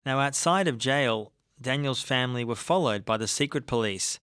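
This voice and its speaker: A man narrating a documentary podcast from a script, in a measured, even delivery. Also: medium pitch, clear, resonant tone, no echo, clean studio sound. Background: faint music.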